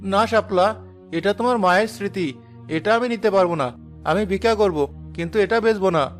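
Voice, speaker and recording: A man's voice: high voice, normal speed, soft background music, close to an everyday voice. A man playing a poor, earnest young father and cook in a cartoon drama, anxious but hopeful. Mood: worried. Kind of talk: Bengali cartoon drama voice acting.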